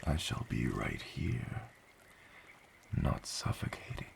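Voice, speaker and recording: A man voicing a gentle, doting father dragon in an ASMR roleplay, murmuring slowly and tenderly and often dropping to a whisper. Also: noisy background, lightly acted. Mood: fond, hushed. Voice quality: very deep, gravelly. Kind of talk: ASMR roleplay, addressing the listener as his child.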